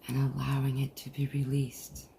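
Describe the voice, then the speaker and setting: Meditation leader, gravelly fry, flat gentle delivery, soothing long pauses, slightly noisy video-call audio. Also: medium pitch. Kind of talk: guided meditation.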